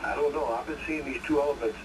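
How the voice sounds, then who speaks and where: A man's voice: mid-range voice, fast. A man chatting casually as an amateur radio operator over a shortwave link, rambling in a folksy way with lots of "you know". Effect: shortwave radio transmission. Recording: noisy distant ham radio signal.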